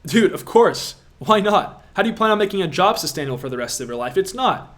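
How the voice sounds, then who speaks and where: A man's voice: high voice, sing-song, brisk, fairly bright tone. A young man from Latvia, an online business coach answering viewer questions on a live stream, emphatic and pushy, repeating himself for effect.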